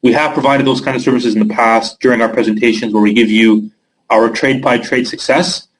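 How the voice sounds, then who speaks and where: A man's voice: medium pitch, steady tone, fairly quick, rough recording. A young man from Canada with a Canadian accent hosting a live trading webinar, reading out viewer questions by name and answering them casually with filler words.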